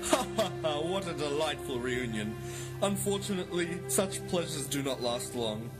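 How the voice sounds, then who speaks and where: An actor playing a smug British mastermind who taunts genteelly in a 1950s-style radio drama. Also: male voice, on the high side, lively intonation, moderate pace, loud music behind the voice, natural voice. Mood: gleeful menace. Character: smug, mocking British male mastermind villain.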